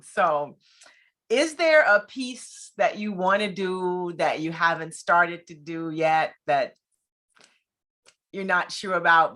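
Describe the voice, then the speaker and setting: A woman with a bright, forward voice hosts a relaxed interview. She sounds playful and conversational, and chuckles easily as she talks. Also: on the low side. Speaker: interviewer, show host.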